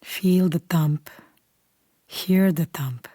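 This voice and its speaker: A woman leading a guided meditation in a calm, unhurried, soothing way, softly and at times almost whispering. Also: on the low side, breathy edge, no echo, studio quality. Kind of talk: guided meditation, slow scripted instruction.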